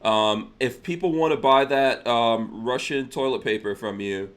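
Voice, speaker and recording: A man's voice: medium pitch, measured pace. A man hosting a podcast, talking in a casual, conversational way. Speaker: podcast host.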